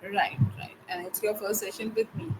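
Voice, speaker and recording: A woman's voice: medium pitch, fairly even intonation, moderate pace, slight background noise, low-quality recording. A young woman, a tutor asking friendly, curious questions. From India. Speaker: English tutor. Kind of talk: casual online conversation.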